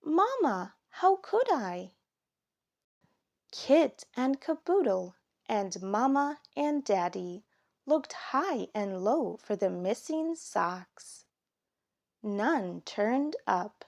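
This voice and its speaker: A woman reading a children's story aloud in an expressive, measured storytelling manner. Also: medium pitch, gravelly. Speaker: storybook reader. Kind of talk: children's story read aloud, scripted narration.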